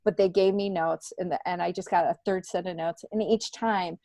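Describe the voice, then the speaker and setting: A middle-aged woman talking casually and candidly as an interview guest, telling stories in an animated way and acting out bits of dialogue. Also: lowish voice, brisk, a little hiss or hum. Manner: animated storytelling, acts out remembered dialogue. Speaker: comedian and TV worker, podcast guest.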